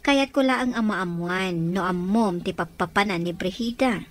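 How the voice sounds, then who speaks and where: A woman's voice: mid-range voice, moderate pace, lightly acted. A woman acting in a radio drama as a suspicious, determined lady probing for answers. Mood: suspicious, resolute. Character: suspicious, determined lady questioning her brother's caregiver.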